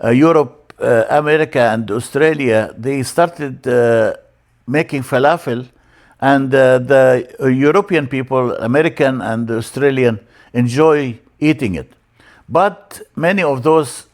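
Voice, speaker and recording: An older man from Egypt, a native Arabic speaker with an Egyptian accent, teaching in an informal lecture style with a halting, deliberate delivery. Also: mid-range voice. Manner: halting, explanatory, with deliberate word-by-word emphasis. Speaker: church language teacher.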